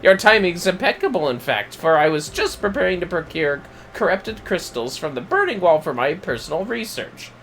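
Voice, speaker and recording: A man voicing a pompous, excitable young male scholar from a fantasy video game, with florid, theatrical delivery. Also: high-pitched, moderate pace, hardly any pauses, slight background noise, voice pushed up, heavily acted. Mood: eager, pompous. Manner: grandiose, florid, alliterative, theatrical exclamations.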